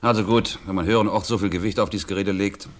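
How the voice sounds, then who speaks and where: An actor playing a gruff, irritable middle-aged police commissioner in a radio crime drama, curt and sardonic. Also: male voice, on the low side, steady tone, fast, natural voice. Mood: grudging.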